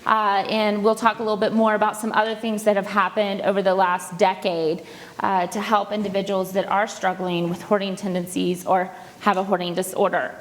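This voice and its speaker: A woman counselor giving a casual lecture to a live audience, with a loud voice, chatty and full of fillers. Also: medium pitch, level tone, normal speed, big-room sound. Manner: chatty, with many fillers and restarts. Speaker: presenter and counselor. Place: large conference room.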